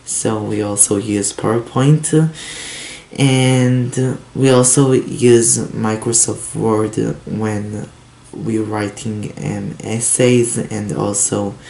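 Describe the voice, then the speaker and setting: A teenage boy from Israel, a native Hebrew speaker, talking in halting English with a Hebrew accent and many fillers while showing his laptop. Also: lowish voice, fairly even intonation, gravelly, mellow. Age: teens. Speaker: tech vlogger. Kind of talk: casual unboxing and review vlog. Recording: roomy echo, faint hiss.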